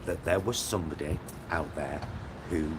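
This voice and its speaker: Low deep guy rambling to his vlog, kinda halting and thoughtful, loud traffic rumble all around. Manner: halting, reflective, trailing into tag questions. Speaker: vlogger. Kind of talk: casual rambling vlog monologue to viewers. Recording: loud vehicle and street ambience noise. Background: loud vehicle rumble and ambience.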